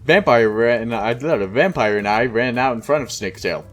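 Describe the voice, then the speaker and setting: A man's voice: medium pitch, normal speed, soft background music. A man doing a casual, mocking read-aloud with exasperated, sweary asides. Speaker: host reading a fan fiction aloud with commentary.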